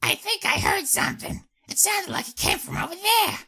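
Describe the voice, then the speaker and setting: A man voicing an alert stallion raider in an audio story, in a squeaky, sharp voice. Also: expressive, normal speed, full character voice. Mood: suspicious.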